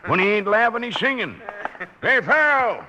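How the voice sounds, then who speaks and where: A man's voice: high-pitched, big pitch swings, moderate pace, bright, forceful tone, exaggerated voice. An American actor playing a rattled, folksy old-West sheriff in a radio drama, colorful and emphatic in his talk. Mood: exasperated. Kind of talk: 1950s radio western acting. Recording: noisy, dull old radio recording.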